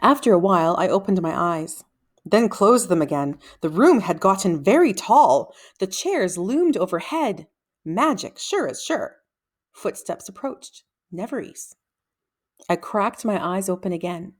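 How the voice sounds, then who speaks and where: A woman reading a children's book aloud as an expressive, dramatic storyteller. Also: medium pitch, a little slow. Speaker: read-aloud reader.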